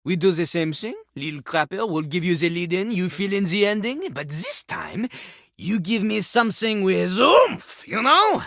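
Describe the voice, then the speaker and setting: A woman's voice: mid-range voice, very animated, a little slow, a bit bright, no echo. A woman voicing a vain, scornful French-accented toilet who fancies himself a rap master, in a theatrical video game performance with a pompous, dismissive manner. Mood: grudging, challenging. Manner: pompous, speaks of himself in third person, dismissive.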